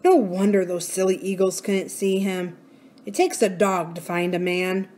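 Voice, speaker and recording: A woman voicing an eager, loyal male dog in a storybook, talking in an insistent, excited way. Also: medium pitch, measured pace, close to an everyday voice. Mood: smug.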